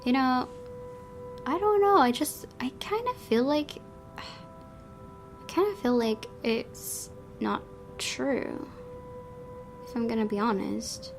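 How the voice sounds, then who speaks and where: A woman's voice: high-pitched, lively intonation, slow, long pauses. A woman hosting a gaming video, giving casual commentary and reading the story narration aloud. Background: game music.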